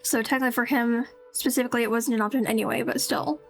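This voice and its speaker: A woman's voice: fairly high pitch, steady tone, fast, soft background music. A woman casually commenting on a game as she streams, musing and rambling as she goes. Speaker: streamer playing a story game.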